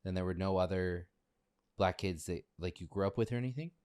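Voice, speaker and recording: A man's voice: sits low, fairly quick, a little mellow. A man hosting a faith podcast, asking friendly, curious questions. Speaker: podcast host. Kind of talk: casual podcast interview.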